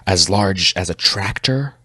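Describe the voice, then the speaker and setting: A man voicing a calm, formal, skeptical doctor with a polite, educated manner in an audio story. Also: sits low, measured pace, breathy edge, dry recording, full character voice. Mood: polite, puzzled. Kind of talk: storybook character voice.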